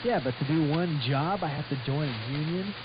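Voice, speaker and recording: A man's voice: fairly high pitch, normal speed, highs cut off, background noise. A blunt, grumbling man co-hosting a casual radio talk show on a shortwave broadcast.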